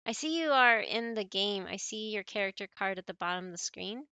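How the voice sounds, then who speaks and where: A woman livestream host chatting casually with viewers while she plays, in an animated, giggly, playful way. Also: mid-range voice, brisk, clean tone, dry recording. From born in Malaysia, family brought to the US.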